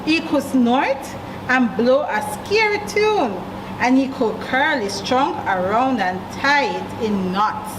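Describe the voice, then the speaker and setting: A woman with a Jamaican accent reading a story aloud to children, with deliberate emphasis and questions to young listeners. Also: high voice, animated. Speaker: library storyteller. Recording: echoey room, distant mic, clear background noise.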